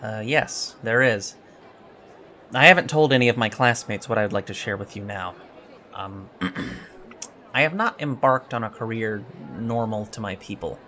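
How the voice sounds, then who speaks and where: A man's voice: lowish voice, unhurried, bright, forceful tone, slight background noise. A man playing an eager, emotional young alien cadet in an audio drama interview, hesitant, polite and self-deprecating. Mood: earnest, solemn.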